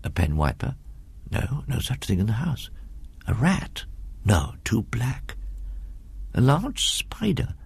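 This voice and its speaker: A man's voice: medium pitch, huge pitch swings, slow, gravelly, long pauses, slightly rough, heavily acted. A man acting a whispering, horror-struck scholar. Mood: mounting horror. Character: terrified scholar recoiling from a horror.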